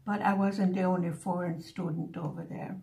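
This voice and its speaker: A woman in her seventies, a native Hungarian speaker who grew up in the USA, chatting casually and reminiscing with pauses as she works on a craft. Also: lowish voice, level tone, warm tone. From born in Hungary, grew up in the USA. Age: 70s. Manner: unhurried, pausing storytelling. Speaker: craft vlogger.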